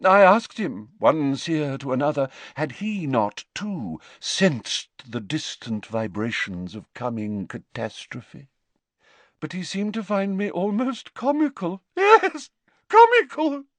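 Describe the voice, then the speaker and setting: A man voicing a haughty older female divination teacher in a storybook, with theatrical, drawn-out words and sniffy, mystical self-importance. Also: mid-range voice, animated, fairly bright tone, dry recording, pitched above the performer's own voice. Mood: indignant, slightly hysterical.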